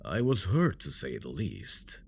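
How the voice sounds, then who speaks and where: A man playing an obsessive, menacing dragon-blooded prince in an ASMR fantasy roleplay, speaking in a brooding, gravelly voice with possessive endearments. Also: wildly swooping pitch, a little mellow, a little hiss or hum, full character voice. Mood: vengeful, menacing. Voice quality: deep, gravelly. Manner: slow, brooding, menacing endearments, dropping into whispers. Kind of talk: ASMR roleplay, dramatic monologue.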